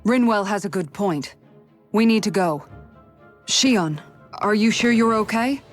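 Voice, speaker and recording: Game companion actress: practical, caring woman, medium pitch, firm delivery, light music underneath. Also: animated, unhurried, natural voice. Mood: concerned. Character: caring, practical, brisk female party companion. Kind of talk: dramatic video game acting.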